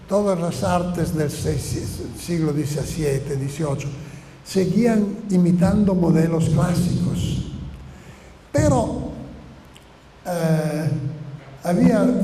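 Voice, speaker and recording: An older man, a native Italian speaker with an Italian accent, giving a halting, improvised academic lecture, often searching for words and repeating phrases. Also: sits high, dark tone. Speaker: seminar lecturer. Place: seminar room or hall, indoors. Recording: echoey reverberant hall with room noise.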